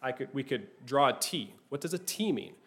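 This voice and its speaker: A man's voice: mid-range voice, lively intonation, a little fast. A man teaching a class, speaking thoughtfully, often restarting phrases and checking in with "right?". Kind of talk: classroom lecture.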